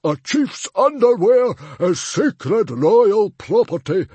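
A huge, pompous Viking chief from a storybook, high and strained in pitch with a husky edge. The delivery is slow and blustering, drawing out each indignant word. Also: male voice, very animated, darkish tone, no room sound, full character voice. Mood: furious, bellowing. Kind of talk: character voice in storybook narration.

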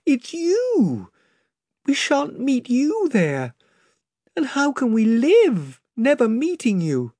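A man voicing an earnest young girl who pleads in a high voice. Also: takes it slowly, dark tone, no echo, studio quality. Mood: sobbing.

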